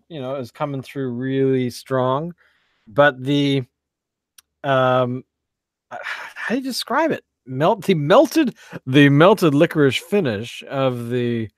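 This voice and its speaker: A man hosting a beer review podcast in casual chat, excitable and joking, often trailing off mid-sentence. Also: medium pitch, no echo, clean studio sound.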